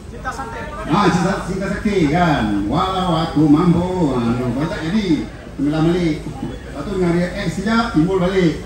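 A rocker trades laughing, self-mocking banter from an outdoor stage, his fairly high voice carried through a PA. The sound booms with heavy echo and is picked up from a distance. A crowd murmurs throughout. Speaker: rock band member. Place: outdoor mall courtyard stage. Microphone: stage microphone through PA. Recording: echoey live PA heard from afar.

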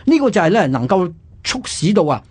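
A male history host with a slightly high, husky voice and a Hong Kong Cantonese accent. He explains at a brisk pace, conversational yet emphatic, often framing points as questions. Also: very animated, a little mellow.

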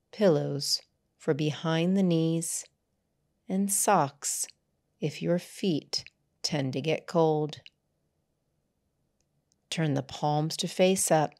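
A woman with a notably low voice narrates a guided meditation. Her delivery is very slow and measured, broken into short phrases with deliberate pauses. She sounds like a soothing yoga teacher settling listeners into rest. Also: a little mellow. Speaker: yoga nidra teacher and channel host.

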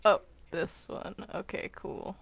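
A woman's voice: slow pace, whispered. A woman chatting casually while gaming in a roleplay server.

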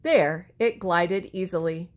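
A woman reading a children's story aloud expressively, with deliberate phrasing and words drawn out for effect. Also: fairly low pitch. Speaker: storybook reader.

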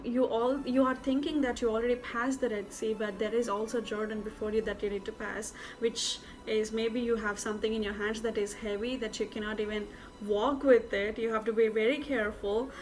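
A woman's voice: on the high side, fairly even intonation, fairly quick, faint music behind, low-quality recording. Earnest young woman teaching scripture. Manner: earnest, many fillers like "you know" and "uh". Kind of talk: informal Bible teaching to camera. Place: indoor room with plain walls.

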